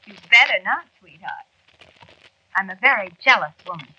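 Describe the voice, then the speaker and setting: A woman's voice: medium pitch, animated, moderate pace, pushed and bright, husky, full character voice. An actress playing a possessive, centuries-old witch wife in a 1940s radio drama, lacing sugary endearments with threat. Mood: jealous, threatening. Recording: dull, noisy 1940s radio recording.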